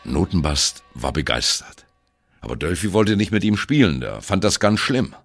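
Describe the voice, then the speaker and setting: An actor playing a male jazz musician who wryly and candidly recalls his youth in a radio play monologue, in a gravelly voice. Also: deep voice, big pitch swings, normal speed, a bit bright, soft background music, exaggerated voice. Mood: wry reminiscing. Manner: wry, candid, self-deprecating. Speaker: narrator of an audio drama. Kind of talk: first-person radio play monologue, storytelling.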